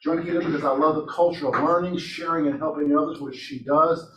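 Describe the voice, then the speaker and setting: A man's voice: a little high, fairly even intonation, fast talker, a bit bright. A man leading a team meeting in a meeting room, upbeat and encouraging, asking the room questions. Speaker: real estate office leader running a team meeting. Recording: echoey room, from a distance, faint noise.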